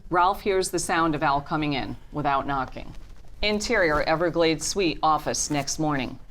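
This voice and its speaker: A woman's voice: sits low, normal speed, fairly bright tone. A woman narrator reading screenplay stage directions as scripted narration at a table reading.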